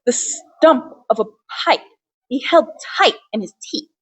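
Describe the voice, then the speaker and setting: Earnest storybook father played by a woman: high-pitched, very animated, theatrical reciter of Christmas wonder. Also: a little slow, a little hiss or hum, low-quality recording. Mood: excited recollection. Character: Professor Moore, earnest father recounting Christmas Eve wonder.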